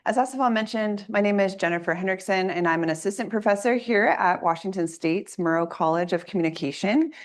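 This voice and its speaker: A woman lecturing to a room of listeners, her voice slightly raspy because she is coming down with a cold. She lays out her points in an orderly, clear way. She speaks at a middling pitch for a woman. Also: brisk, hardly any pauses. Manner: clear, organized lecturing with audience asides. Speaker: assistant professor giving a workshop talk. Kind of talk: academic presentation.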